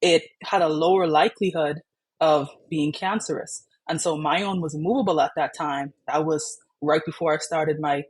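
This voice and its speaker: Young woman, kinda husky breathy voice, chatty, lots of "like" and "you know". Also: deep voice, steady tone, normal speed. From Bahamas. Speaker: patient sharing her story. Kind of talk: candid personal storytelling.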